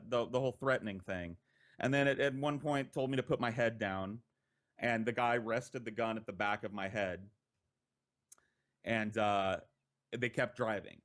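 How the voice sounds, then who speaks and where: An American man, a podcast storyteller, recounting an anecdote in a conversational, profane way with dramatic pauses. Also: lowish voice, a bit bright. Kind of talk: casual anecdote telling.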